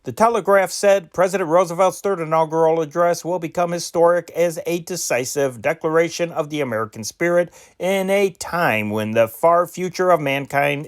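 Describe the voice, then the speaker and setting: A man with a somewhat high voice reads old newspaper dispatches aloud. His pace is measured, and he now and then trips on a word and corrects himself. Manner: measured reading with self-corrected slips. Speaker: newspaper reader.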